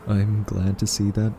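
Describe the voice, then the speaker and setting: A man playing a timid, quirky scientist in an audio roleplay, soft-spoken, stammering and awkwardly polite. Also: on the low side, a little hiss or hum. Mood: relieved, cautious. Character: timid, quirky male scientist held captive by a rogue AI. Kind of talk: audio roleplay acting addressed to listener.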